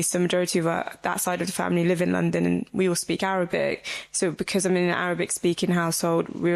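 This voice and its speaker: Chatty woman author, lowish voice. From Somalia. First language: Somali. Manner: chatty, laughs often. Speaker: author on a panel. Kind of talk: panel discussion.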